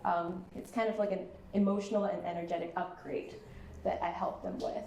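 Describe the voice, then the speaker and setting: A woman from Singapore who works as an emotional wellness guide, speaking softly and reflectively on a panel. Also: lowish voice, little pitch movement, brisk. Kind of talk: panel introduction and personal story. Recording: echoey room with faint background noise.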